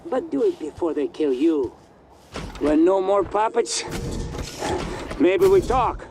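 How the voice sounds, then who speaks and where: High-pitched, paranoid game survivor. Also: male voice, expressive, takes it slowly, noisy background, full character voice. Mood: grim warning. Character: paranoid, unhinged male survivor warning of mind-controlled puppets. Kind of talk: video game voice acting.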